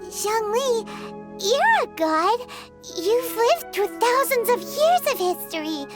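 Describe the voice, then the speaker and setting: An actress playing a tiny, childlike female fairy companion in a fantasy video game, chirpy, blunt and very expressive. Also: takes it slowly, over music, heavily acted. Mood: chirpy, curious. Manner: squeaky, expressive, refers to herself in third person.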